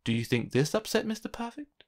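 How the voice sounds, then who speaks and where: A narrator reading a children's storybook aloud in an expressive, theatrical way with deliberate phrasing. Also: mid-range voice.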